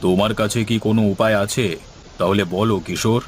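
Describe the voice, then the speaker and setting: A man's voice: on the low side, fairly even intonation, brisk, slight background noise, voice pushed down, close to an everyday voice. A man voicing an anxious, pious, kindly old village headman in cartoon dialogue, speaking in a grave, fatherly way. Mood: grave, encouraging.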